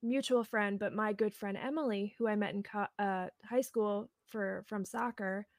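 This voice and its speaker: A young woman guest on a casual podcast. She speaks in a relaxed, reflective way and often gives short agreeing replies. Her voice sounds close and dry, with no room around it. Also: medium pitch, moderate pace, no room sound. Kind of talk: casual chat.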